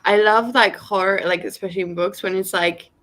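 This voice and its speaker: A woman's voice: mid-range voice, steady tone, a little fast, a bit bright. A woman co-hosting a livestream in casual chat, speaking informally with lots of "like". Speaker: co-host of reading livestream.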